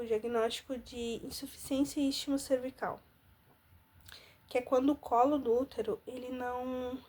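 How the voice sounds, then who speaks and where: A woman's voice: on the high side, warm tone, breathy edge. A woman in her thirties, nasal from congestion, earnestly telling her own story in a personal vlog with frequent restarts. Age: 30s. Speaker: vlogger.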